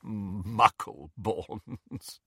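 A man's voice: medium pitch, wildly swooping pitch, unhurried, bright, forceful tone, no echo, clean studio sound, heavily acted. A man voicing a pompous, contemptuous old wizard in a portrait, with a weary, haughty, formal drawl. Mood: sneering.

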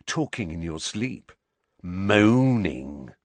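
Man acting a big thuggish teenage lad, snarling, boastful, mocking, lively swooping pitch, storybook bully. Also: drawn-out words, no room sound, heavily acted. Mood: sneering, taunting.

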